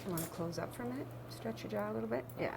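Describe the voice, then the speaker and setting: A woman working as a dental assistant who gently checks on the patient and explains what she is doing. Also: mid-range voice, rapid-fire, creaky, a crowd in the background. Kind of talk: chairside talk during a procedure.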